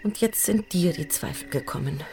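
An actress playing a troubled, hesitant young woman in an intimate radio play, halting and often dropping to a breathy near-whisper. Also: lowish voice, expressive, warm tone. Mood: hesitant, earnest.

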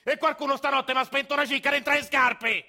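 A cranky old grandfather with a thick Roman accent hollers and scolds in a high, strained, bright voice. He sounds coarse and fed up, always at full volume. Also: fairly even intonation, fast, creaky, full character voice. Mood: angry scolding. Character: grumpy foul-mouthed old Roman grandfather. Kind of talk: theatre monologue acting.

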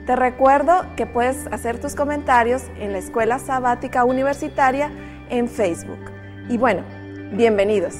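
A woman's voice: a little high, moderate pace, in a large hall, over music. A woman hosting a Bible study talk show, leading the discussion in a friendly way with frequent fillers. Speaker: host of a Bible study program. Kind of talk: casual religious study chat.

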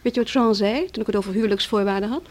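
An actress in a Dutch radio play playing a bitter, proud young wife who talks in a scornful, taunting way. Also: female voice, mid-range voice, racing, darkish tone, natural voice. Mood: scornful mockery.

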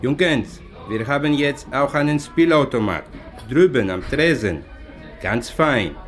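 An actor playing an obliging, proud Greek tavern owner in a radio play. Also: male voice, medium pitch, expressive, takes it slowly, soft background music.

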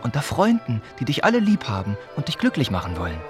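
An actor playing a kind young older cousin in a radio play, speaking gently and patiently to reassure a child. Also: male voice, mid-range voice, huge pitch swings, fast, music in the background. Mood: gentle, reassuring.

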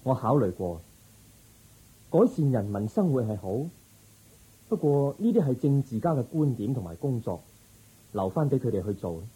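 A man playing a calm, resolute young prince seeking enlightenment in a Cantonese radio drama, speaking in an earnest, measured way. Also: mid-range voice, breathy edge, poor audio. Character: resolute young prince turned spiritual seeker, calm, compassionate.

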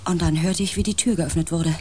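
A woman's voice: fairly low pitch, fairly even intonation, brisk, darkish tone, a little hiss or hum, lightly acted. An actress playing a female space pilot, grave and intense, in a science fiction radio play. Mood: tense, haunted. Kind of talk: radio play acting, dramatic first-person narration.

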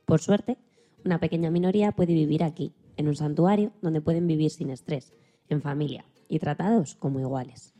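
A woman's voice: deep voice, moderate pace, warm tone, soft background music. A woman co-presenting a radio program with scripted narration.

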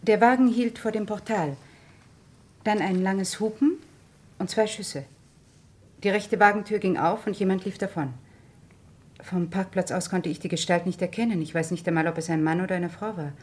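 A woman acting a composed, guarded doctor under questioning in a German radio crime drama, speaking in a measured, precise way. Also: medium pitch, a little mellow, slightly rough, lightly acted. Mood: factual, tense.

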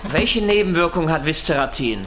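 Radio drama, male: masked kidnapper-interrogator, very animated pitch, insistent, probing, mocking, coolly menacing. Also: moderate pace. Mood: indignant, pressing. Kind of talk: radio play acting.

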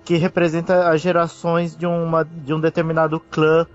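Muffled, fairly high Brazilian male voice. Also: completely flat delivery, moderate pace, soft background music. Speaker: podcast panelist. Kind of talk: casual fan chat.

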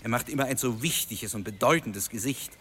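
A man's voice: mid-range voice, brisk, a bit bright, slight background noise, lightly acted. A man playing a resentful young student in a radio drama, the rebellious son of a governor, speaking with bitter emphasis. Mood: agitated, resentful. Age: young adult. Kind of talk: German radio drama acting.

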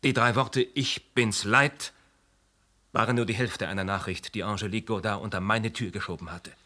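An actor playing a self-righteous insurance agent who confesses to murder in a deliberate, formal, unrepentant way in a German radio play. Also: male voice, fairly low pitch, steady tone, bright, forceful tone. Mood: grave, controlled.

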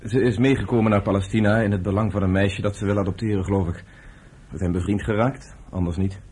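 A man's voice: lowish voice, fairly even intonation, quick pace, darkish tone, lightly acted. An actor playing an easygoing, earnest young man, a son and brother, in a radio drama with informal, sincere delivery. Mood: earnest, concerned. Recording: noisy old radio play recording.

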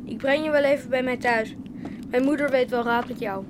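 High young female voice, blunt, sarcastic, feisty villager acted by a woman, noisy ambience, radio drama. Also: steady tone, brisk, natural voice. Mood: sarcastic, concerned. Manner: scornful, blunt. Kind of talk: naturalistic radio drama acting.

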